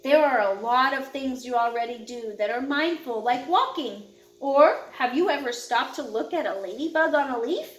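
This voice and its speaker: A woman narrating a children's picture book in a measured, instructional way, phrasing clearly for young listeners. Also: high voice, expressive, roomy sound, poor audio. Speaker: audiobook narrator.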